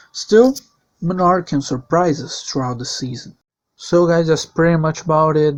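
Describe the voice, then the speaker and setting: A man whose first language is Portuguese, hosting a league channel with a voice-over season preview. He delivers a plain, steady recap in non-native English phrasing. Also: a little high, highs cut off.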